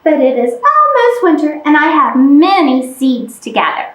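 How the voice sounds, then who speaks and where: A woman's voice: sits high, sing-song, normal speed, fairly bright tone, distant mic. A woman voicing a polite, busy little storybook mouse in a singsong manner. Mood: apologetic, busy. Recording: echoey room.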